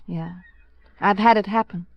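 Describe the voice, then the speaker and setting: A woman's voice: medium pitch, a little slow, no room sound. An actress playing a candid, world-weary American society woman in a 1950s radio drama, speaking in a cool, languid way. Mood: flirtatious, languid. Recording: dull vintage radio recording.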